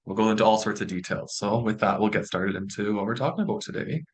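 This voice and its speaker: Young man, Canadian accent, lower register. Friendly, reassuring student presenter for an informal online session. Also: fast talker, breathy edge. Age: young adult.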